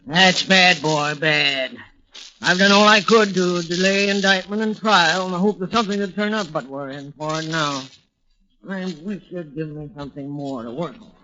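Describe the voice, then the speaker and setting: A man's voice: high voice, normal speed, pushed and bright. An actor playing an exasperated, fatherly defense lawyer, emphatic and world-weary, in a 1940s radio drama. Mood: worried. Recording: dull 1940s radio recording, some noise.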